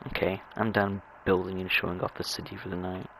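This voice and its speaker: Creaky, low-voiced young man, stammering. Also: little pitch movement, bright, forceful tone, slight background noise. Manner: halting, stammering, repeating words. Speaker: gamer narrating own gameplay. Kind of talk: rambling casual chat over gameplay.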